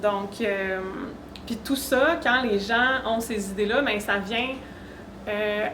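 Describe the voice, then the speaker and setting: A woman's voice: mid-range voice, slow pace, a bit bright. A woman with a Québécois French accent giving an informal, conversational awareness talk to an audience. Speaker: panelist, speaker. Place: echoey room at a university event. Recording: roomy echo, faint background noise.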